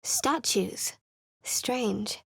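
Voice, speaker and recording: A guarded young cleric who keeps her secrets close, her game voice cool and wry. The voice sits a touch high, and the studio sound is dead dry with no room around it. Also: lively intonation, extremely slow, gravelly, a bit bright, slightly rough, dry recording, exaggerated voice. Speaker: companion character in a video game.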